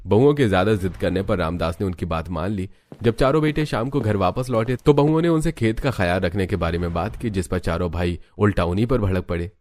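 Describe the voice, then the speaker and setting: Low-voiced male story narrator. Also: brisk, a little mellow.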